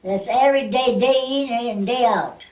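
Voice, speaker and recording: A woman's voice: fairly high pitch, moderate pace, darkish tone. A ninety-year-old woman reminiscing casually and plainly, often repeating her phrases. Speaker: oral history interviewee. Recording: echoey room, distant microphone.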